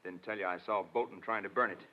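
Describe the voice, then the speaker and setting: An actor playing a menacing, gloating killer in a 1940s radio crime drama, with a sneering, boastful delivery. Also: male voice, fairly low pitch, fairly even intonation, fast, lightly acted. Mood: gloating menace. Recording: muffled vintage radio recording.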